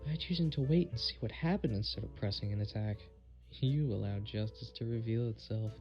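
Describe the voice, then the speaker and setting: A man's voice: lowish voice, a little fast, lo-fi sound, faint music behind, close to an everyday voice. A man playing a clever, confident defense attorney in an audio drama, pointed and theatrical in delivery. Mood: triumphant. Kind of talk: amateur audio drama acting.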